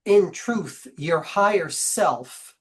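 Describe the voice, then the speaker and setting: A man getting over a cold, a guest channeler explaining his views in a measured, emphatic way. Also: high voice, expressive. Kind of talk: casual interview chat.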